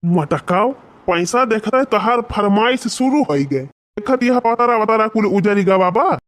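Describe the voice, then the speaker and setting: A woman's voice: on the high side, measured pace, close to an everyday voice. A woman voicing a bossy, cautious village farmer husband in a cartoon comedy, speaking colloquially with an Awadhi accent.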